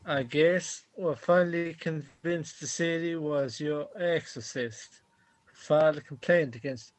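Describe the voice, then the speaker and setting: A husband acted by a man lays out news emphatically in a radio play. His voice is on the high side and recorded very dry. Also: no room sound, close to an everyday voice, rough recording. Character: matter-of-fact husband bringing bad news. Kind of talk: amateur radio play acting.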